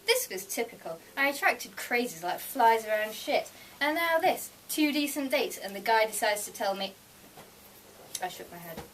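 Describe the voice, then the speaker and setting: A woman's voice: a little high, big pitch swings, moderate pace, a bit bright. A woman reads her own story aloud at a live fiction night, expressively moving between narration and dialogue. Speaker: author reading own fiction. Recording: distant mic, noisy room ambience.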